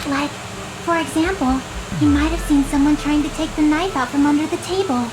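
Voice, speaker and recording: An actress voicing a young student who reasons earnestly in an anime mystery game dub. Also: female voice, sits high, a little fast, noisy background, natural voice. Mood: pondering. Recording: game music behind the voice.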